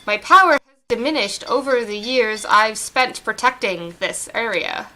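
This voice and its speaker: A woman voicing a solemn female tree spirit from a video game, reading formal dialogue slowly and earnestly. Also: on the high side, expressive, pushed and bright, slight background noise, poor audio.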